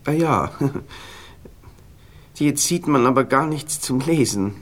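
An actor playing a nervous, well-off young gentleman with a Viennese accent, halting and distracted, in a radio play. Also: mid-range voice, animated. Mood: flustered. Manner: halting, distracted, faintly bossy.

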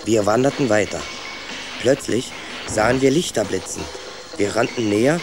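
A man's voice: medium pitch, background noise. A young man playing an adventurous, stubborn young rat who tells his own story in a children's radio play. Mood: curious. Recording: clear ambient effects behind.

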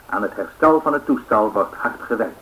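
An actor playing a formal male radio announcer of the 1930s, reading measured news bulletins in a radio drama. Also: lowish voice, expressive, fairly bright tone, rough recording. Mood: formal, factual.

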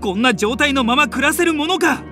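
A proud young man who is stiff, blunt and easily affronted. His indignant delivery swings widely in pitch, in audio drama style, over prominent music. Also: animated, fairly quick. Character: stiff, irritable, proud young older brother. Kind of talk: animated Japanese audio drama acting. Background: music and animal sounds.

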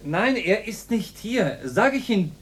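An actor in a radio drama playing a wary, subdued studio assistant, speaking in a hushed, hesitant way. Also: male voice, high voice, very animated, unhurried, husky, full character voice. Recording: humming, faintly noisy radio play recording.

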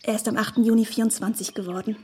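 A woman's voice: on the high side, fairly even intonation, racing, dark tone, breathy edge. An actress playing a naive, eager and deferential young woman in a radio play, artless and hesitant in her speech. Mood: timid, dismayed.

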